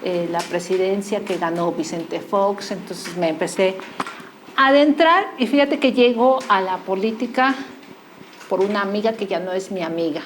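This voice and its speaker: A chatty woman with a Mexico City accent, a guest in a casual interview, speaking at an ordinary middle pitch. She tells her story in an animated, easygoing manner, with faint background noise in the recording. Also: normal speed. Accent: Mexican Spanish, Mexico City. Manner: chatty, storytelling, laughs easily.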